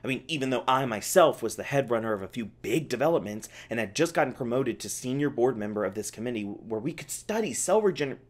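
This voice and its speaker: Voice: medium pitch, expressive, fast talker, few pauses, natural voice. A performer voicing a snarky, exasperated scientist held captive in an audio roleplay, sarcastic, testy and casual in speech. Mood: uneasy, explaining. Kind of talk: ASMR audio roleplay, one-sided dialogue.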